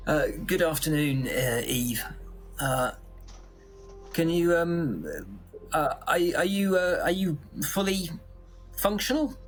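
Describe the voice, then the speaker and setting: A man acts as a hesitant, fretful male boss of a space factory. He talks very slowly, fumbling for his words in an everyday conversational way, as in improvised audio drama. Music sits faintly behind him. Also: fairly high pitch.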